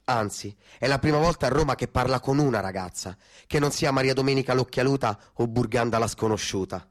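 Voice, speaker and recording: A man's voice: mid-range voice, fairly quick. A man narrating a literary story in a theatrical style for radio. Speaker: actor-narrator.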